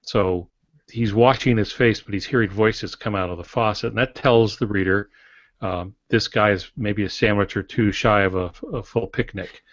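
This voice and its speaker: A man's voice: fairly low pitch, fairly even intonation, normal speed, dry recording. A man co-hosting a podcast, discussing fiction writing in a casual, reflective, folksy way. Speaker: podcast co-host and novelist. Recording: dull lo-fi podcast audio.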